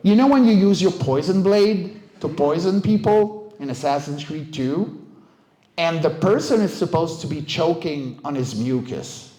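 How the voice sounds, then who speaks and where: A middle-aged man giving an informal talk about his work as a voice performer, telling anecdotes in an animated, casual way. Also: a little high, a little slow. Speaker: voice actor, director and coach giving a talk. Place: indoor event room, live talk. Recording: roomy, echoey live talk recording.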